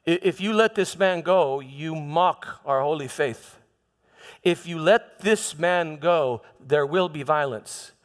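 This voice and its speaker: A man playing a calm, calculating rabbi who threatens quietly in a measured, insistent stage delivery. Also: on the high side, clean tone. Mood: calm menace. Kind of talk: theatrical church monologue. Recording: reverberant hall with music.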